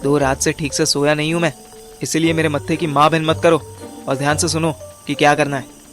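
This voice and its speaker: A man's voice: a little high, level tone, brisk, a bit bright, lightly acted. Bossy, impatient young detective, acted by a man. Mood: irritated scolding. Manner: slangy, impatient, mixes in English words. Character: bossy, irritable young male detective business boss. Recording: music under the voice.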